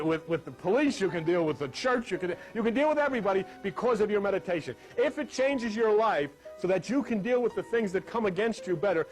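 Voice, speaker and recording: A man with a fairly high voice gives a spiritual lesson in an emphatic, conversational way. He explains like a teacher, with faint music running under his words. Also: rough recording. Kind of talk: talk on meditation and scripture. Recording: music laid under the speech.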